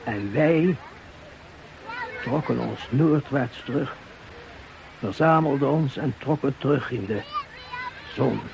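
A man in a radio play slowly telling his memories of earlier days. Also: medium pitch, vocal fry, darkish tone, dull audio, a little hiss or hum. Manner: slow, telling old memories. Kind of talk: radio drama recollection.

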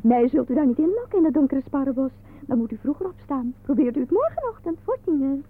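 An actress playing an excitable, quarrelsome office telephone operator in a theatrical, scornful radio play style. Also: female voice, high voice, fast talker. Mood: brisk, bossy. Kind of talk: absurdist radio play acting. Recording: thin, phone-like old radio recording.

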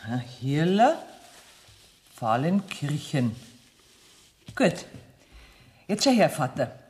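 An actress playing a shrewd, commanding businesswoman in a radio play, speaking with a Bavarian accent in a brisk, familiar manner. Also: fairly low pitch, very animated. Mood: businesslike. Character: bossy, shrewd Bavarian businesswoman handling money with folksy confidence.

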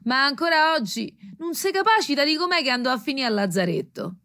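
A woman's voice: sits high, animated, brisk, bright, forceful tone, exaggerated voice. A woman playing an earthy, wry townswoman telling of an epidemic in colloquial Roman-accented speech for a radio drama. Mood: wry, gossipy. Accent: central Italian, Roman. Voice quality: colloquial, dialect-coloured delivery. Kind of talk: theatrical storytelling in radio drama. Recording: music under the voice.

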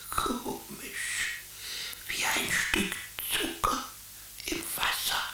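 Whispering, fading little creature. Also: female voice, pushed and bright, reverberant. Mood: weak, resigned. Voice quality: breathy whisper. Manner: faint, weary, halting delivery. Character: weak, fading little TV creature Karl, male, resigned, sleepy. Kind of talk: radio drama acting.

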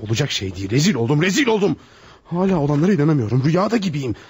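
An actor playing a flustered, indignant psychologist trapped by blackmail, stammering out protests in a radio theater style. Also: male voice, fairly high pitch, very animated, rapid-fire, a little mellow, slight background noise. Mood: anguished outrage. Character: panicked, outraged male psychologist caught in blackmail trap. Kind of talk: Turkish radio theater acting.

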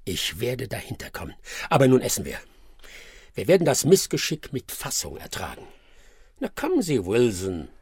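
A proud master detective in a radio crime comedy. His voice is very husky and breathy, and it swings widely between clipped impatience and condescending, theatrical sarcasm. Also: mid-range voice, huge pitch swings, normal speed, a little mellow, full character voice. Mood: composed, resolute. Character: brilliant male detective, sardonic, proud, impatient.

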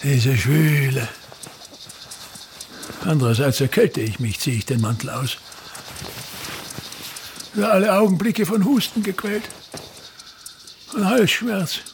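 An actor playing an embittered, pompous aging state actor in a radio play, an older man who declaims grandly and complains querulously with dramatic pauses. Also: on the high side, slight background noise. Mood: disgusted, complaining. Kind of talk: theatrical radio play monologue.

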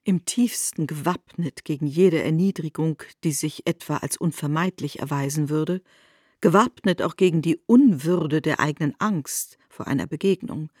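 A woman's voice: sits low, normal speed, darkish tone, breathy edge, dry recording, clean studio sound. A woman narrating a literary radio drama with formal, articulate storytelling delivery.

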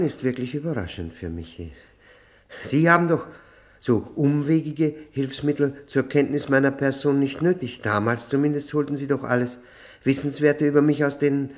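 An actor playing an embittered, educated male prisoner in a 1960s German radio drama, speaking articulately with irony and halting, brooding phrases. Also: mid-range voice, lightly acted. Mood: agitated, reproachful. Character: embittered, educated male prisoner facing his old prosecutor.

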